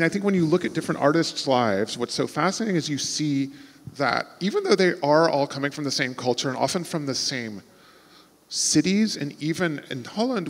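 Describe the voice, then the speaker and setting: A man from Texas with an American accent, speaking as an author on a festival panel in a thoughtful, rambling way full of restarts. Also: mid-range voice. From Texas, United States. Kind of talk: conversational panel talk. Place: large festival venue before an audience. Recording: long hall reverb.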